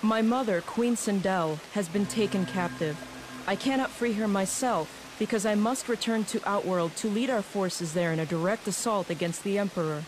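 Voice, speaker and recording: A woman's voice: medium pitch, normal speed, noisy background, close to an everyday voice. A woman playing a resolute warrior princess in a video game, formal and earnest in her delivery. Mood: resolute, urgent. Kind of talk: video game cutscene acting. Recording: game music behind.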